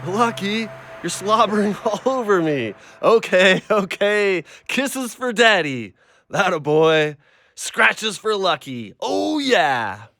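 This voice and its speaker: An actor playing a troubled, lonely man, gruff yet tender, in an audio play. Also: high voice, big pitch swings, takes it slowly, sharp tone, dry recording, full character voice. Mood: playful, affectionate. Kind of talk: audio drama acting.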